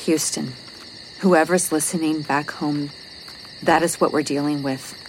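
A woman's voice: deep voice, steady tone, a little slow, a little hiss or hum, rough recording. An actress playing a stressed, weary space mission officer who is protective of her crew, in an audio drama. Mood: worried, weary. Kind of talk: audio drama acting, logged report monologue.

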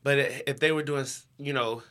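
Bright-voiced young American man, casual. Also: medium pitch, fairly even intonation, fairly quick. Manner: informal, heavy use of "like". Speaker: interview guest, education nonprofit co-founder. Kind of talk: casual conversational interview.

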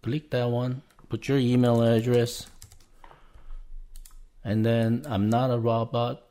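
A man whose first language is Korean speaks English in a relaxed, unhurried way, hosting a casual tutorial. He narrates each step as he goes, at a slow, steady pace in a mid-pitched voice. Keyboard typing is heard in the background. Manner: unhurried, talks through each click. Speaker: podcast host. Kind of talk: casual screen-share tutorial.

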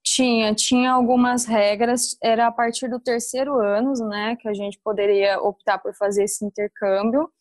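A woman's voice: a little high, fairly even intonation, moderate pace, few pauses, no room sound. A young adult woman with a rural interior São Paulo accent, telling her experiences casually as a podcast guest. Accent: rural interior São Paulo Brazilian (caipira). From interior of São Paulo state, Brazil.

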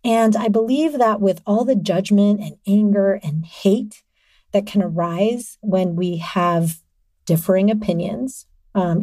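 A woman hosting her own podcast, talking alone in an upbeat, chatty way, with a slightly raspy voice and frequent laughs. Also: medium pitch, mellow, no echo. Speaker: podcast host. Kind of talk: solo podcast monologue.

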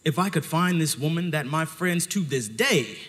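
A man's voice: on the high side, lively intonation, normal speed, fairly bright tone. A man telling a story live on stage, theatrical and dramatic, with pointed emphasis, recorded in a hall.

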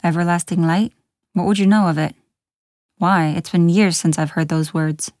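A woman voicing a towering, bitter man in a storybook, with a gruff growl and brusque, old-fashioned, foreboding speech. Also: fairly low pitch, steady tone, normal speed, a little mellow, dry recording, clean studio sound, natural voice. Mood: suspicious.

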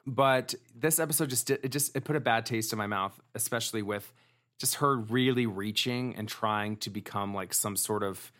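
A man's voice: lowish voice, a bit bright. Chatty man recapping reality TV. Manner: chatty, fast, fillers and repeated restarts. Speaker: podcast co-host. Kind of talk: casual recap chat.

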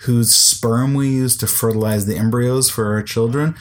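A man co-hosting a podcast, talking casually and haltingly, with many restarts. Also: medium pitch. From United States. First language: English, second language Icelandic. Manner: relaxed, halting, frequent restarts. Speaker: podcast co-host. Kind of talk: casual conversation.

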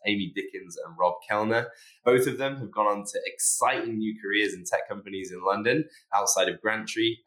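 A man hosting a podcast, talking in a measured, self-deprecating way and often repeating words as he goes. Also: low-pitched, a bit bright, reverberant, rough recording. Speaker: podcast host. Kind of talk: podcast chat.

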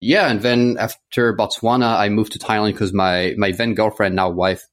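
A man in his thirties from France talks casually and at length as a guest in a conversation, growing animated as he tells stories. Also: on the low side, normal speed. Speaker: interview guest.

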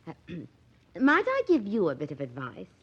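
Spoiled rich society woman, swooping pitch. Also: huge pitch swings, measured pace, slightly rough, dull audio, full character voice. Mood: teasing. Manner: haughty, teasing, theatrical. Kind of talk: 1940s radio drama acting.

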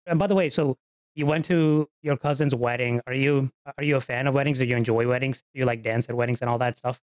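A man hosting a podcast interview at a middle pitch. He opens with a scripted read, then moves into easygoing questions. He keeps an even, everyday tone. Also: fast, clean tone. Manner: reads a scripted intro, then asks friendly conversational questions. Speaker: podcast host.

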